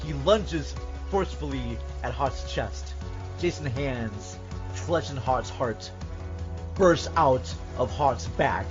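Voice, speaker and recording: A man's voice: mid-range voice, animated, slow pace, over music. A man reading a scripted scene aloud as a podcast host, with emphatic, suspenseful delivery. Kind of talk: dramatic script narration and casual chat. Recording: dull lo-fi audio.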